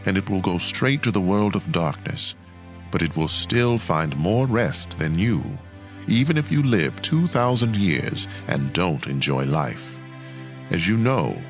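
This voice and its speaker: A man with a very low voice reads scripture as a dramatized audiobook. His delivery is emphatic and measured, moving expressively up and down, with faint music underneath.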